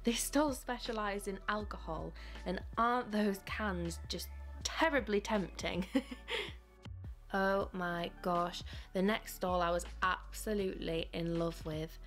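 A woman's voice: mid-range voice, slow, a bit bright, soft background music. A young woman from England, gushing and enthusiastic as she narrates a vlog and shows off her haul from home. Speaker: vlogger. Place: living room at home, sitting on floor.

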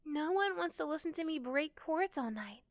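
Sweet, high-voiced young girl next door, acted by a woman. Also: expressive, moderate pace, no room sound, studio quality. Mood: stressed, defensive. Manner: soft, hesitant, gentle. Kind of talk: ASMR roleplay acting.

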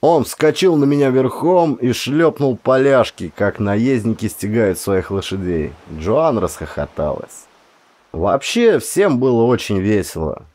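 A man reading a first-person story aloud as an audiobook narrator, measured and expressive. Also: medium pitch.

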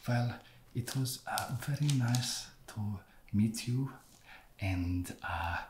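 A man acting as an awkward, creepy, fussy vampire in an ASMR roleplay, soft-spoken and full of halting hesitation. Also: medium pitch, close to an everyday voice. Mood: hesitant, creepy. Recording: roomy echo, loud background noise.

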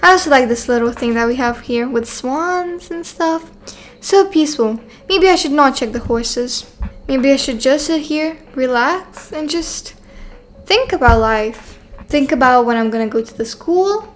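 Woman playing a high-voiced, dramatic, chatty young girl. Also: animated, measured pace, a little hiss or hum. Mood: cheerful musing. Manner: chatty, dramatic, thinking aloud. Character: excitable, self-assured young girl, new in town. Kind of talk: voiced video game roleplay.

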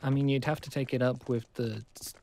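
A man's voice: mid-range voice, fairly even intonation, fairly quick, a little mellow, slight background noise, lightly acted. A man playing a polite, awkward school survivor in a game roleplay, stammering and apologetic as he rambles. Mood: chatty.

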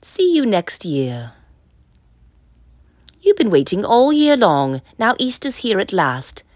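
Animated woman reading aloud, slightly husky. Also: mid-range voice, slow, dry recording, studio quality. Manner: sing-song rhyming delivery for children. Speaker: storyteller reading a children's picture book aloud. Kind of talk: expressive read-aloud narration.

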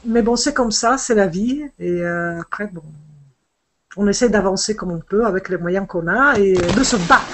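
A woman's voice: mid-range voice, normal speed, fairly bright tone. A middle-aged woman whose first language is Italian, a fashion designer chatting on a video call from home, hesitant with many fillers and restarts. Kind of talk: casual video call chat. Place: home room, indoors. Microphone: earphone headset on video call.

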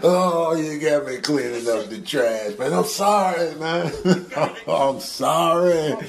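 A man's voice: fairly high pitch, slow pace, vocal fry, crowd noise. A man voicing a whining, aggrieved German Shepherd who pleads like a scolded ex-police dog in a comedy dub. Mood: remorseful, pleading. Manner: whiny, pleading.

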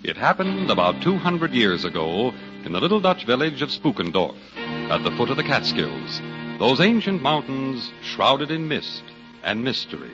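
A man's voice: medium pitch, big pitch swings, normal speed. A man narrating as a 1940s radio announcer, with theatrical storyteller delivery. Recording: muffled 1940s radio recording. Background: music.

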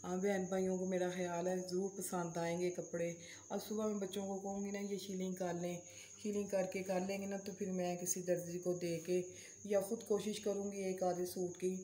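A woman's voice: fairly low pitch, little pitch movement, moderate pace, warm tone. A middle-aged Pakistani woman chatting casually for a home vlog in a homely, repetitive way with pious phrasing. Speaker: vlogger. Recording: roomy echo, faint background hiss.

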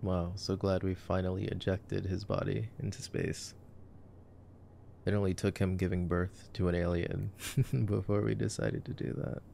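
A man's voice: sits low, level tone, moderate pace, creaky, mellow, slight background noise. A young man giving casual, joking, intimate movie-watching commentary in a boyfriend sleep-aid roleplay, full of slang. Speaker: ASMR roleplay voice actor.

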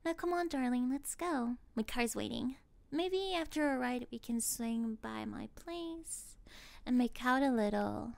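Casting: female actor as spoiled popular mean girl for audio roleplay, high voice with creaky fry, sarcastic and scornful. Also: unhurried, a little mellow, no echo. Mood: haughty. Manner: scornful, sarcastic, laughing derisively. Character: snobby mean popular teenage cheerleader girl, spoiled and catty. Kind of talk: ASMR roleplay acting.

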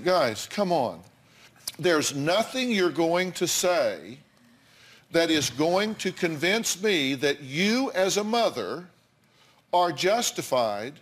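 Older male TV host, blunt and lecturing, slightly high voice, studio lapel mic. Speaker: talk show host. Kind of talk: confrontational talk show counseling. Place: TV studio with audience.

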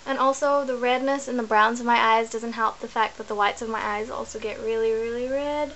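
A woman's voice: on the high side, fairly even intonation, fairly quick, a bit bright, a little hiss or hum, low-quality recording. Chatty young woman on a laptop webcam. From New Zealand. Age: young adult. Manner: chatty, rambling, self-correcting. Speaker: vlogger. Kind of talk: casual chat to camera. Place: small bedroom indoors.

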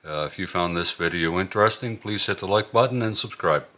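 A man's voice: deep voice, brisk, roomy sound, background noise. A middle-aged man chatting casually to viewers as a vlog host, in an informal, hesitant way. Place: inside a moving truck and a garage.

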